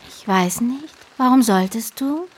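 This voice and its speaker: An actress in a radio drama playing an eerie, childlike younger sister, needy and accusing, who slips into whispers. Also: female voice, fairly high pitch, expressive, a little slow, husky. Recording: music behind the voice. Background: music.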